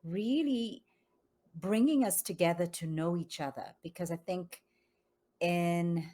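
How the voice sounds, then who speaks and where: A woman from Zambia, a yoga studio founder, speaking calmly and reflectively as a guest on a video call interview. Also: fairly low pitch, slow pace. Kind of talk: online interview conversation.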